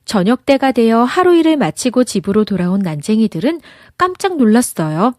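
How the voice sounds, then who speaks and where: A woman narrating a children's picture book as an expressive storyteller. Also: a little high, brisk, no echo, studio quality. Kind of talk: children's fairy tale narration.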